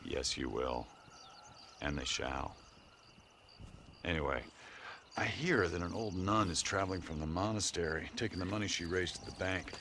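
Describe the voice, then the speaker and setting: A calm and enigmatic stranger from a western video game. His voice sits very low and he talks in measured, knowing, cryptic lines as if passing judgment. Faint outdoor noise sits behind him. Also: full character voice. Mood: cryptic, goading. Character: mysterious calm male stranger who knowingly judges people.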